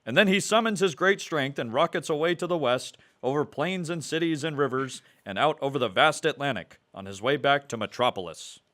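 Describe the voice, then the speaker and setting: A man's voice: fairly high pitch, lively intonation, fairly quick, few pauses, a bit bright. A man giving dramatic scripted narration in the style of a 1940s radio adventure serial.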